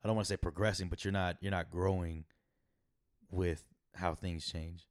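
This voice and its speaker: A young man with a raspy voice muses on a podcast, pausing and restarting as he works through his thoughts. His voice is low, and the sound is dry and close to the mic. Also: little pitch movement, no echo. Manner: thoughtful, hesitant, many "uh" and restarts. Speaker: podcast co-host. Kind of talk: casual chat.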